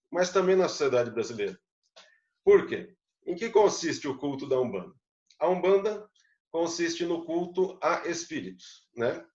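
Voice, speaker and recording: A man's voice: a little high, roomy sound, muffled recording. A man, a psychologist, giving an explanatory live talk to an online audience and putting rhetorical questions to them. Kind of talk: lecture-style live talk.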